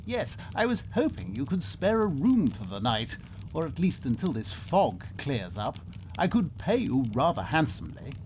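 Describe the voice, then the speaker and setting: An actor playing a polite, excitable Victorian nobleman in a comedy radio play, with a theatrical, pompous and well-mannered delivery. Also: fairly high pitch, moderate pace. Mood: polite, genial. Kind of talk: melodramatic first-person radio theatre narration and acting. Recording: noisy ambience behind the voice.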